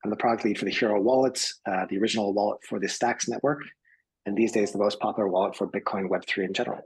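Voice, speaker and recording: A man from San Francisco introducing himself as a panelist in a brisk, friendly way. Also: fairly low pitch, fairly even intonation, breathy edge, rough recording. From San Francisco, USA. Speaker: panelist, product lead.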